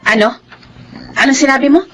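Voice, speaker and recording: A woman's voice: high-pitched, fairly quick, slight background noise, close to an everyday voice. An actress playing a weary, frustrated wife and mother struggling with poverty in a radio-style drama. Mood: alarmed. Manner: complaining, exasperated.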